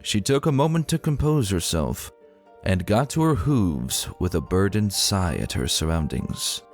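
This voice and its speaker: A man's voice: low voice, expressive, unhurried, darkish tone. A man giving a dramatic scripted reading of a story as its narrator. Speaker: narrator of fan fiction readings. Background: music.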